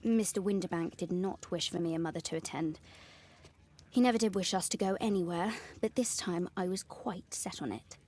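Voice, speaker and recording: A woman's voice: lowish voice, normal speed, darkish tone, close to an everyday voice. An actress playing an earnest young Victorian lady in a video game, with a British accent and polite, formal speech. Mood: earnest, confiding. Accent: British English. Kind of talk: video game dialogue acting.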